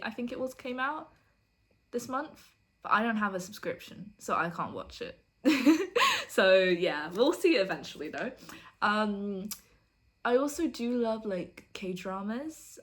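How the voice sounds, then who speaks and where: A young woman from the UK, a university student vlogging in a casual get-to-know-me chat, chatty and giggly. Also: fairly low pitch. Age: young adult. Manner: chatty, giggly, self-deprecating.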